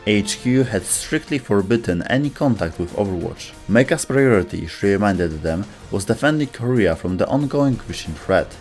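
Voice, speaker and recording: A man's voice: on the low side, expressive, moderate pace, hardly any pauses, darkish tone, soft background music. A man reading scripted narration as a video host.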